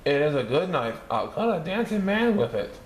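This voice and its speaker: A man reading a children's picture book aloud, lifting his voice high for the characters' lines. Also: moderate pace. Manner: lively, raising his pitch for characters' lines. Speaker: storybook reader. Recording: echoey room with faint background noise.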